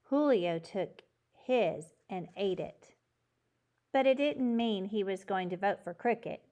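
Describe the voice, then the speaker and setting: A woman reads a children's story aloud at a slow pace. Her voice sits at a medium pitch, and she phrases each sentence in a measured, clear way meant for young listeners. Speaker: children's book reader.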